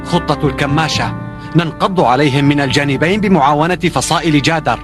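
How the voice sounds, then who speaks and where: A man's voice: a little high, fast talker, lightly acted. A man playing a bold, confident battle hero in a cartoon dub, with an assured, heroic manner. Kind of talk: dubbed cartoon acting. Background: loud dramatic music.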